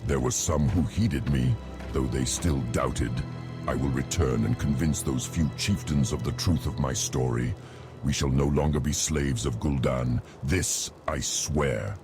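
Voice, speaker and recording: A very deep-voiced, solemn orc chieftain. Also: male voice, unhurried. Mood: solemn, resolute. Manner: solemn, earnest. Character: proud, honourable, exiled male orc chieftain. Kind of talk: fantasy game cutscene acting. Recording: music behind.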